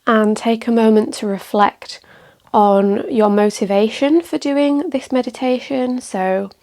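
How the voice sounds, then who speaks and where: A woman guides a meditation as a teacher, speaking calmly and gently in measured phrases with pauses. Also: fairly high pitch. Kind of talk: guided meditation, calm instruction.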